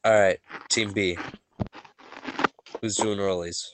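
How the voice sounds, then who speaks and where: A man's voice: lowish voice, fairly even intonation, takes it slowly, very noisy. A man with a stuffy, sniffly voice, running a tabletop game as game master and giving a recap in a loose, joking way. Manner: loose, joking, with frequent restarts. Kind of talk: casual chat and recap narration.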